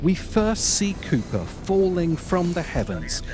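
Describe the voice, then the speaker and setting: A man narrating a scripted video essay as its host, speaking deliberately with dramatic pauses and emphasis. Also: high voice, very animated, music in the background. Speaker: host of a film commentary channel.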